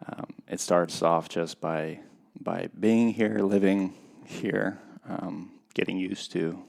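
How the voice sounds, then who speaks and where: A man's voice: low voice, steady tone, creaky, darkish tone. A man in his thirties from Russia, a podcast guest speaking reflectively and unhurriedly in a casual interview. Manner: reflective, unhurried, hesitant with many pauses. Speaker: podcast guest, skydiving competitor.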